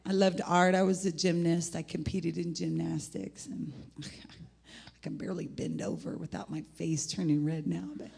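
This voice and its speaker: A middle-aged woman with an American accent and a husky, gravelly voice, preaching an informal, rambling sermon in an animated, folksy way. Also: fairly low pitch, normal speed. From United States (Indianapolis, Indiana). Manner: animated, rambling, folksy, breaking into laughter and exclamations. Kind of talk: informal sermon with personal testimony.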